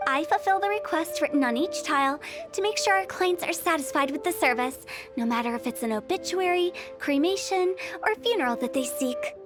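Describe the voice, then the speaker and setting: Brief: cheeky young undertaker in a game, very high voice, faint music. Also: female voice, expressive, fairly quick, few pauses. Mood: scheming. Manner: cheeky, breezy sales pitch with giggles. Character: young female funeral parlor director, mischievous and playful.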